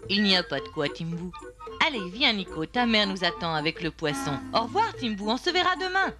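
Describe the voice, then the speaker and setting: A woman voicing a kind, gentle animal friend in a French cartoon dub, with music behind. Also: medium pitch, big pitch swings, fairly quick, close to an everyday voice. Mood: friendly. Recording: music under the dialogue.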